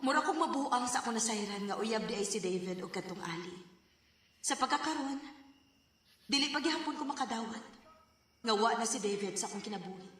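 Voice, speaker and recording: A woman's voice: a little high, lively intonation, a little fast, a bit bright. An actress playing a jealous, heartbroken young woman in a radio drama, emotional and pleading. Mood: sorrowful.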